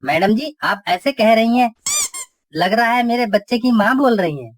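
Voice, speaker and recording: A woman's voice: fairly high pitch, animated, moderate pace, over music, natural voice. A woman voicing a grumbling, flirtatious husband and father in a cartoon comedy. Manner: bickering at family, smooth-talking.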